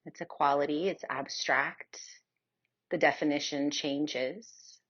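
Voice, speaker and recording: Slow, low-voiced woman guiding meditation. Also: fairly even intonation, fairly bright tone, slightly rough, dull audio. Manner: soothing, unhurried with long pauses. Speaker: guided meditation teacher. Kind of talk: guided meditation, yoga nidra.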